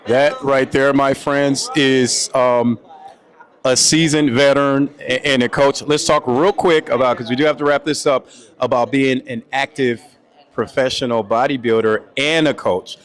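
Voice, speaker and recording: A man in his fifties interviewing athletes at a contest, enthusiastic and playful, asking quick questions. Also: mid-range voice, fairly even intonation. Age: 50s. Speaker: event interviewer. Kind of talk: upbeat event interview.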